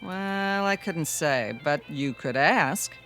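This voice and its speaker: An actress playing a friendly, teasing roadside diner waitress in a radio drama, with folksy, breezy, flirty small talk. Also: female voice, low voice, lively intonation, takes it slowly, a bit bright, soft background music, heavily acted. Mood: chatty, curious.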